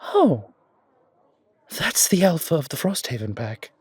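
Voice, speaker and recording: A man plays an elegant, sociable fantasy shopkeeper with a wry streak. His voice is clean and sits a little high, and he speaks in unhurried, theatrical phrases with formal wording. It sounds close and dry, like an intimate audio roleplay. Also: sing-song, darkish tone, husky, no echo, exaggerated voice. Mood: amused, proud. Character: elegant, wry, sociable male coffee shop owner, non-human.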